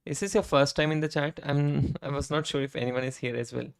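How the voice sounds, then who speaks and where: A man with a hoarse, worn-down voice, chatting casually on a live coding stream, often tagging his sentences with "right?" and clearing his throat. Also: on the high side, fairly even intonation, brisk. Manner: conversational, frequent "right?" tag questions, throat clearing. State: hoarse, voice almost gone. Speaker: streamer and software engineer. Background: keyboard typing and clicks.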